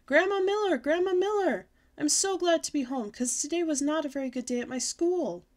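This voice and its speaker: A woman voicing an excitable, bossy little kindergarten girl with a shrill, squealing, breathless way of pleading. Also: fast, close to an everyday voice. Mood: shrieking. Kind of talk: audiobook character voice.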